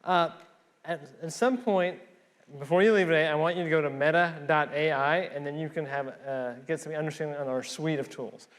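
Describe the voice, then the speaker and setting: A man's voice: a little high, fairly quick, a bit bright. A man from Pakistan whose first language is Urdu, speaking on a conference panel with many fillers and restarts.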